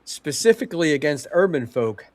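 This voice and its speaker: Nasal, stuffy man with deadpan jokes. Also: on the high side, moderate pace, no room sound. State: stuffy nose. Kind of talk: casual podcast banter.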